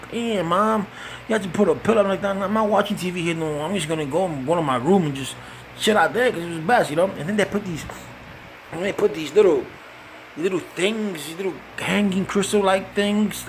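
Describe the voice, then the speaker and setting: A man's voice: fairly high pitch, a little hiss or hum, rough recording. A man from the Bronx with a New York accent, telling stand-up stories in loose, profane street talk and acting out the people he quotes. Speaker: stand-up comedian. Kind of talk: stand-up storytelling.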